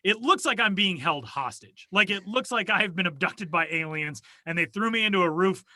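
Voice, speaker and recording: Man, podcast co-host, very bright voice, dry close sound, rambling self-mocking chat. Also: high voice, expressive, fairly quick, no room sound. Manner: rambling, self-deprecating, joking. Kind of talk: casual chat. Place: small soundproofed closet studio. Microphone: home studio mic over video call.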